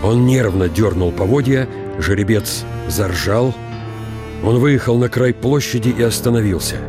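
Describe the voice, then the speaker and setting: An actor playing an aging military count, proud and brooding, in a deliberate, reflective radio drama monologue. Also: male voice, fairly low pitch, close to an everyday voice. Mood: grave, haunted. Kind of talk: dramatized literary reading with inner monologue. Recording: studio radio drama with music behind.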